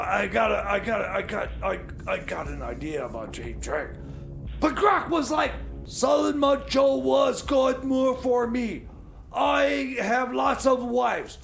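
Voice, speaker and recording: A man co-hosting a radio show with excitable, stammering comedy banter. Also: very high voice, creaky, slight background noise.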